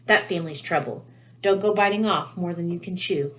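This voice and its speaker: A woman voicing a brisk, protective middle-aged mother witch in a storybook, with a sharp, bossy manner. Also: fairly low pitch, lightly acted, low-quality recording. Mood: sharp warning.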